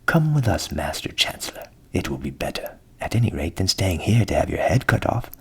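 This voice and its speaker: A man voicing a friendly, persuasive old donkey from a storybook, genial and encouraging in a low, creaky half-whisper. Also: very animated, brisk, a little mellow, heavily acted. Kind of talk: storybook character voice in ASMR reading.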